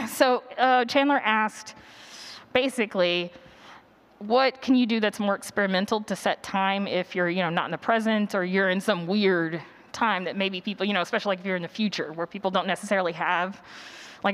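A woman's voice: mid-range voice, moderate pace, fairly bright tone. A woman teaching a workshop in a conversational, encouraging way and taking questions from people in a conference room. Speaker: workshop presenter. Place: conference session room with an audience.

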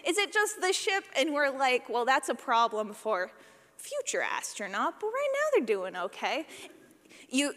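A woman giving a live workshop talk as a sound designer, animated, playful and informal, acting out examples as she goes. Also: a little high. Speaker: session presenter, podcast sound designer. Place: large indoor session room. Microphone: presentation microphone. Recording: echoey hall, live presentation.

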